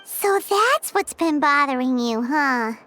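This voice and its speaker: A woman voicing a tiny, chatty, cheeky childlike companion in a video game, exaggerated and squeaky. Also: animated, slow pace, pushed and bright, a little hiss or hum, heavily acted. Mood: concerned. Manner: exaggerated, childlike, refers to herself by name.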